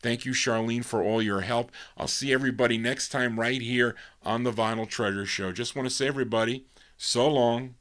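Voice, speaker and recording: A man's voice: mid-range voice, fairly even intonation, moderate pace, fairly bright tone. A male radio host chatting casually and rambling, with a tired voice he says he is losing. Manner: rambling, self-mocking, many restarts. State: losing his voice, dry throat. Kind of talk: casual on-air chat, sign-off.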